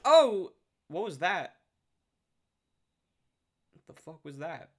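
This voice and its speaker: A nineteen-year-old man chatting casually on a livestream, reading out viewer comments, excitable and swearing freely. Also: high-pitched, slow pace, soft background music.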